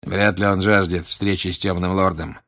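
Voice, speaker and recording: A man voicing a grim older wizard in an audiobook, questioning in an insistent, deliberate and menacing way. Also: sits low, level tone, fairly bright tone. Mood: quiet, menacing. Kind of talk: audiobook character acting.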